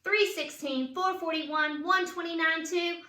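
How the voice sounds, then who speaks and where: A woman's voice: very high voice, fairly even intonation, measured pace, bright, forceful tone, slight background noise. A woman reads a children's picture book aloud with chatty asides, putting questions and emphatic cheers to young listeners. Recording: roomy, echoing room sound.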